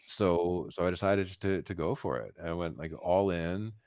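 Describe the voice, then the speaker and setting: Deep-voiced American man telling stories. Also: darkish tone, dry recording, clean studio sound. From United States, Philadelphia. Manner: halting, repeats words, emphatic asides. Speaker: interview guest, concert pianist. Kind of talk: casual storytelling in a podcast interview.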